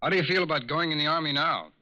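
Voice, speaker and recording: An actor playing a calm, firm, fatherly federal agent who questions formally in a 1940s radio crime drama. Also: a little high, very fast. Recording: muffled 1940s radio recording.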